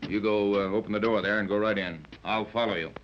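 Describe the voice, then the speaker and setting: An actor playing an authoritative, wary captain, firm and commanding, in a 1930s radio serial. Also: male voice, lowish voice, fairly even intonation, quick pace, noisy background, close to an everyday voice. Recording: dull lo-fi 1930s radio recording.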